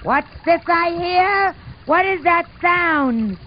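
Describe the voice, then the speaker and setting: A woman's voice: very high voice, expressive, slow, full character voice. A woman voicing a wild, blunt young tyrannosaurus in a puppet show, scornful and full of questions. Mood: puzzled. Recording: muffled, noisy amateur puppet show recording.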